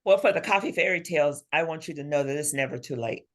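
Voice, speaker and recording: A woman's voice: deep voice, quick pace. A woman talking as an interview guest and author, telling stories expressively and acting out imagined bits of dialogue. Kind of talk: conversational interview, animated storytelling.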